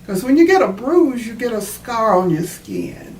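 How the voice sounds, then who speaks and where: A woman reading a children's book aloud and explaining it in a deliberate, teacherly way. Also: on the high side, huge pitch swings, a little mellow. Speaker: author reading own book. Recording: roomy, distant mic, faint background noise.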